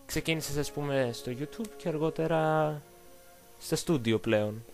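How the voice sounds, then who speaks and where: A woman's voice: low voice, vocal fry. A woman asking questions as the interviewer in a casual chat. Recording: loud music behind the voice. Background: music.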